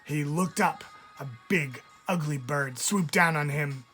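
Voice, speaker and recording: A man, a teacher, reading a picture book aloud in an expressive storytelling style, stressing key words. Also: a little high, a little slow. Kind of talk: expressive read-aloud narration for children. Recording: music under the voice. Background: music.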